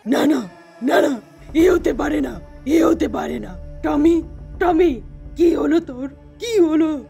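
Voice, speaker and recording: A man voicing a grieving, vengeful dog owner in a cartoon, anguished and shouting. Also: extremely high pitch, expressive, takes it slowly, creaky, faint music behind, heavily acted.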